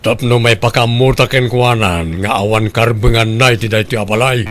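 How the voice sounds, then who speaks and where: A man's voice: mid-range voice, normal speed, a bit bright, close to an everyday voice. A defiant Ilocano husband played by an actor in a radio drama. He is a stubborn brother-in-law who refuses to be pushed around.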